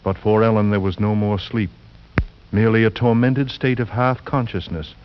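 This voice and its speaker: Deep-voiced, grave 1940s radio suspense narrator, a man acting. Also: fairly even intonation, noisy background, natural voice. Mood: grave, ominous. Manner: grave, deliberate, theatrical. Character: grave, ominous male storyteller of a horror tale. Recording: muffled 1940s radio recording with music.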